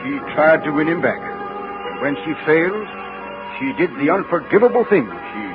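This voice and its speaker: An actor playing a stern, judgmental gentleman in a 1940s radio drama, grave and unforgiving. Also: fairly high pitch, big pitch swings, normal speed. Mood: bitter, condemning. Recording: muffled old radio with loud music.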